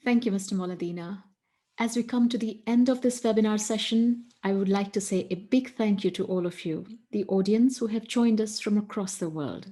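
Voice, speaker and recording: A woman's voice: mid-range voice, normal speed, mellow. A woman moderating a webinar, polite and formal as she thanks the speakers and hands over. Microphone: webinar call.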